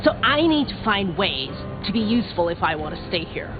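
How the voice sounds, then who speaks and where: Brash, slangy vampire girl with swooping pitch, played by an actress. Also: sing-song, a little fast, natural voice. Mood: frank, frustrated. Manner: slangy, sardonic, streetwise, swearing. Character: brash, sarcastic young female vampire, scrappy small-town newcomer. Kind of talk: video game character dialogue. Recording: dull game audio under clear music.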